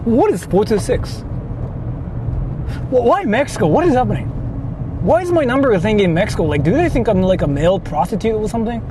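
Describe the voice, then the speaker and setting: A young Japanese man speaks English with a Japanese accent, casually and excitably, swearing freely as he chats with his viewers. His voice sits high and leaps all over the place. Loud street traffic runs behind him. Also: fairly quick, pauses often. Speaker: streamer. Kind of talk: casual live-stream chat. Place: outdoors on city streets.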